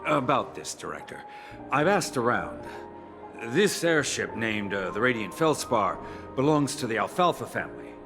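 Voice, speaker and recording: Animated, deferential corporate aide. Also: male voice, mid-range voice, normal speed. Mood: deferential briefing. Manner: polite, deferential. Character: deferential male corporate subordinate briefing his boss. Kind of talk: video game voice acting. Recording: music behind the voice. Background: background music.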